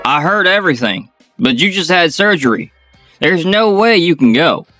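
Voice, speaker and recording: A man voicing a young surgeon in a manga dub, talking in a firm, scolding but concerned way, in a higher voice than his own. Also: animated, normal speed, bright, forceful tone, soft background music. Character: firm, caring young male surgeon friend.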